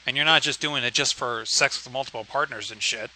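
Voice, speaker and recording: A man's voice: mid-range voice, a little fast, pushed and bright, a little hiss or hum, low-quality recording. A man co-hosting a livestream, bantering casually in a blunt, sweary way. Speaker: livestream co-host commenting on a story.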